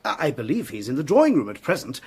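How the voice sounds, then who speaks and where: High-voiced anxious young gentleman. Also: huge pitch swings, quick pace, slightly rough, full character voice. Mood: courteous. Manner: polite, fretful, hesitant. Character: anxious, courteous young male château host. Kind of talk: period radio drama acting.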